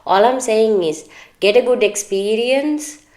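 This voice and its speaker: A woman's voice: fairly high pitch, measured pace, darkish tone. A woman co-hosting a podcast, chatting candidly and earnestly about personal matters, in a talkative, advice-giving way. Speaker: podcast co-host.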